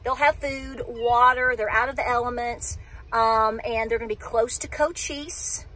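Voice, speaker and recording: A woman's voice: a little high, fairly even intonation, unhurried, bright, forceful tone, rough recording. A woman, chatting casually to a phone camera from inside a parked car, rambling and restarting her sentences as she talks. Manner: chatty, rambling, self-interrupting. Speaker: homestead vlogger. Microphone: phone camera at arm's length.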